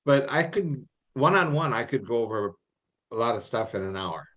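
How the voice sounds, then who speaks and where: A middle-aged man giving informal, folksy advice in an online meeting, halting and repeating himself as he explains. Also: mid-range voice, animated, a bit bright. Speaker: experienced contest judge and adviser. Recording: muffled, band-limited online meeting audio.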